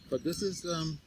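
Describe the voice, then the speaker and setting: An older man with a South African accent co-hosting a casual chat at a campsite, talking loosely with lots of ums and laughs. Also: fairly high pitch. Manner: chatty, many ums and uhs, laughs often. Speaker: podcast co-host. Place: outdoors at a campsite. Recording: loud outdoor background noise.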